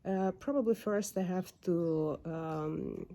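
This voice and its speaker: A young woman with a Ukrainian accent talks slowly and unhurriedly in a casual home vlog. Her voice is soft and mellow, and she pauses often with fillers while searching for her English words. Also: on the low side. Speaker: dog owner vlogger. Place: home backyard.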